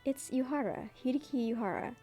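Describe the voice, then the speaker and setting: A woman voicing a polite, reserved young guy from a comic in a low-key, casual reading. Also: expressive, fairly quick, mellow, breathy edge, faint music behind. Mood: earnest, low-key. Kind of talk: amateur dramatic comic reading.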